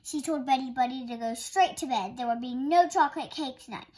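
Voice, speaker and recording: A woman reading a children's picture book aloud as a storytime host, in an expressive storytelling style. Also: high-pitched, brisk.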